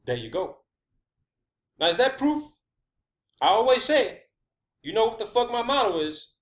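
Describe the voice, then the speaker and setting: A man's voice: high-pitched, very animated, unhurried. A man giving an informal, emphatic solo explanation, swearing freely and repeating phrases for stress. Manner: checks in often with "okay" and "all right". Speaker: video creator presenting own theories. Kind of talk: informal monologue rant and explanation.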